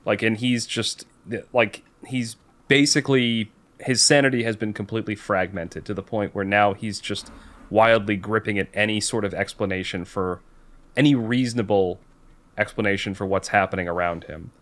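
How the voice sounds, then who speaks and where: A man co-hosting a podcast, casually discussing a book and thinking aloud in a halting way. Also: lowish voice, steady tone, a bit bright. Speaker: podcast co-host.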